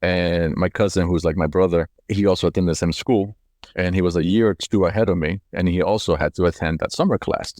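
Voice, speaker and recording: Deep-voiced man from Colombia, casual storyteller. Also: steady tone, brisk, a little mellow, dry recording, studio quality. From Cali, Colombia. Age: 40s. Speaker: company CEO being interviewed. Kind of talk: casual autobiographical storytelling in an interview.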